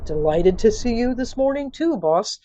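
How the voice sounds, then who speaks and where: Woman voicing a flippant, lively young mailman. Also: on the high side, moderate pace, a little hiss or hum, voice pushed up, close to an everyday voice. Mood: sarcastic. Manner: sarcastic, cheeky. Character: flippant, startled, sarcastic young man mail carrier. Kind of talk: audio fiction character acting.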